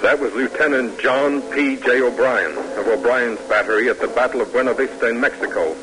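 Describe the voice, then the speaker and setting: A man's voice: mid-range voice, a little fast, low-quality recording. An American man narrating an old-time radio drama in a solemn, stately, oratorical way. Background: music.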